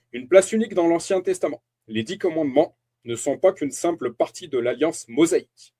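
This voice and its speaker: Husky-voiced man reading a sermon. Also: fairly high pitch, dark tone. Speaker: preacher. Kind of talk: reading a Bible teaching aloud.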